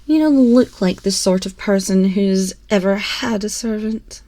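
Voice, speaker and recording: Very dry, intimate binaural sound carries a haughty empress, acted by a woman in an ASMR roleplay. She speaks slowly and at a medium pitch, with formal, theatrical diction. Her manner is imperious and condescending, cruelly mocking whoever is before her. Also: mellow, no echo, close to an everyday voice. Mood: condescending, composed. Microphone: close binaural microphone, dry.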